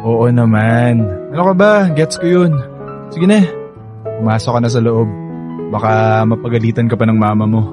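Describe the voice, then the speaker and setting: A man's voice: medium pitch, moderate pace, pauses often, close to an everyday voice. A man playing a gallant, bashful young suitor in a Filipino radio romance, with a Filipino accent and an earnest, teasing manner. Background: background music.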